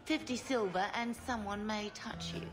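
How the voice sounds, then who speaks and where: An actress as a haughty madam in a fantasy game. She is curt and all business, her voice at an ordinary female pitch. Music plays behind her. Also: lightly acted. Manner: haughty, brisk. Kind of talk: video game voice acting.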